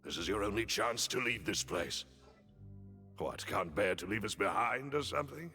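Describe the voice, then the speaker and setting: An actor playing a loyal henchman in a video game, gravelly, with a fond, teasing manner. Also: fairly low pitch, lively intonation, moderate pace, pushed and bright, soft background music. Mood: wry, fond farewell. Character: gruff, wry, loyal henchman facing farewell.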